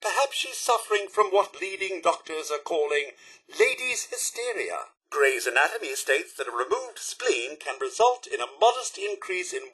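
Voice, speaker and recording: A loyal robot butler from a video game with a British accent. The voice is bright and slightly husky, swooping theatrically across a wide range. He speaks with fussy, deferential politeness and formal diction. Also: high-pitched, exaggerated voice, low-quality recording. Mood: cheerful announcing. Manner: formal, deferential, fussily courteous. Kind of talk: video game character voice lines.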